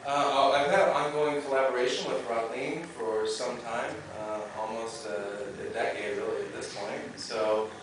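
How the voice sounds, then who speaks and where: A man answering audience questions as a film director, speaking informally and haltingly, often repeating phrases. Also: mid-range voice, fairly even intonation. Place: movie theater Q&A. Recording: noisy, very echoey theater, far from mic.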